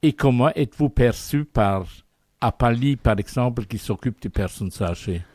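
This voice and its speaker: Guy doing the interviewing, mellow relaxed voice, very dry sound. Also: medium pitch, moderate pace, no room sound. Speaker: interviewer, programme host. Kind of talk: conversational radio-style interview.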